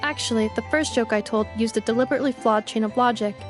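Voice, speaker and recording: A woman's voice: on the high side, fast talker, soft background music, lightly acted. A woman voicing a polite robot maid in a video game, formally and literally explaining things in an earnest way. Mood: earnest, explanatory.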